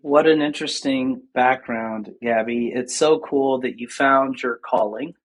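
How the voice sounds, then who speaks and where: A man's voice: medium pitch, unhurried, rough recording. A man hosting an interview podcast over a video call, upbeat and enthusiastic. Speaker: podcast host.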